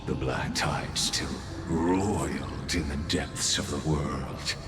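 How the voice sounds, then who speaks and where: An actor playing an ominous, pompous male villain in a game, speaking in deliberate, philosophical and condescending monologues. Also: extremely low pitch. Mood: grave narrating. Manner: slow, deliberate, pompous, theatrical. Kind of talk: dramatic game character monologue. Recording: echoey hall reverb, music underneath.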